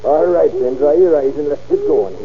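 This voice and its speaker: A man's voice: a little high, normal speed, a little hiss or hum, lightly acted. An actor playing a kindly middle-aged patrolman who stammers and coaxes a lost boy, in 1940s radio drama style. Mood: coaxing. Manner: stammering, coaxing and fatherly. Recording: dull old radio recording.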